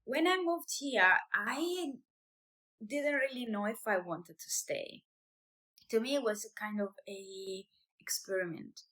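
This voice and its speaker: A woman's voice: a little high, slow, sharp tone, poor audio. Italian woman, thirties, non-native English, casual and hesitant vlogger. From Italy. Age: 30s. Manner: chatty, hesitant, with fillers and restarts. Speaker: vlogger, business owner. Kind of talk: casual personal vlog.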